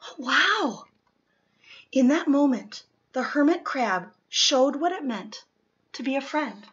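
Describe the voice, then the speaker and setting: A woman reads a children's picture book aloud with expressive, deliberate dramatic emphasis, recorded in a living room. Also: high voice, highs cut off. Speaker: storytime reader.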